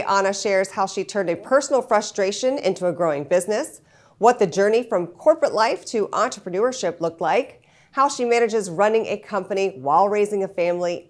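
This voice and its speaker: Host: woman, mid-range voice, upbeat scripted intro and friendly questions. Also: mid-range voice.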